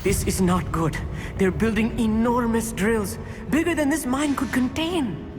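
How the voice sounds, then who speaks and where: A man's voice: very high voice, expressive, unhurried. An actor voicing a bookish, timid teenage boy in a video game, wordy and fretful. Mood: anxious. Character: bookish, timid teenage schoolboy scholar, easily alarmed. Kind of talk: dramatic video game dialogue. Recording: long hall reverb under loud music. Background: loud game music.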